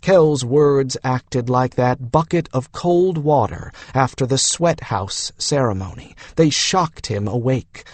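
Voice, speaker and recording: A man narrates a fantasy novel in a measured storytelling style. His pitch is medium and his pace is slow. The sound is dry, with hardly any room in it. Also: no room sound. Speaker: audiobook narrator.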